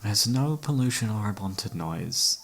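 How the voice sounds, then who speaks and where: A man's voice: lowish voice, lively intonation, unhurried, gravelly, fairly bright tone, heavily acted. A man acting an obsessive, flirtatious serial killer who holds a detective captive, speaking with teasing mock courtesy in an ASMR roleplay. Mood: calm, coaxing. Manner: teasing, mock-polite, theatrical, savouring words. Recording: background music under the voice.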